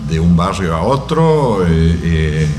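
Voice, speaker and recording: A man answering audience questions after a lecture, slow and halting with many fillers. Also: deep voice, sing-song, darkish tone, roomy sound, a little hiss or hum. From Argentina. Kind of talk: academic Q&A answer.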